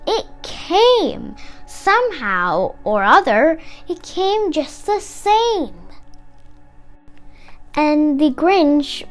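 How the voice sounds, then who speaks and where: A woman reading a rhyming children's story aloud in a dramatic, deliberate storytelling manner. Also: high-pitched. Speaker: storybook reader.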